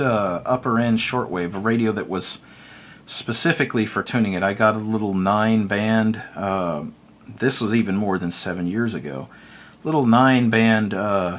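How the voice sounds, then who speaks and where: A man's voice: lowish voice, fairly bright tone. A man giving a casual, unscripted hobby explainer in a folksy, rambling, self-deprecating way. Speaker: hobbyist video presenter.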